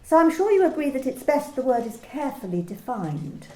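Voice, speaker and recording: A woman's voice: sits high, very animated, a little fast, dark tone. A woman acting an officious, politely menacing manager in an amateur radio play, with formal, precise, probing questions. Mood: officious, pedantic. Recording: roomy, echoey home recording.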